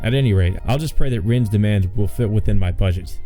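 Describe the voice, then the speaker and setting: A man's voice: lowish voice, quick pace, dark tone, soft background music. A man hosting a let's play, reading a visual novel aloud with casual commentary.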